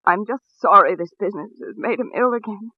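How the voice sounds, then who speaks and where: An actress playing a respectable small-town wife in a 1940s radio drama, speaking in a halting, anguished yet proper way. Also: female voice, mid-range voice, dry recording, lightly acted. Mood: pleading. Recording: muffled vintage radio recording, phone-like band.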